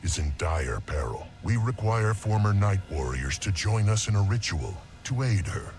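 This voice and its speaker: A man's voice: on the low side, sing-song, normal speed, darkish tone, soft background music. A man voicing a solemn warrior in a fantasy game cinematic, formal and earnest.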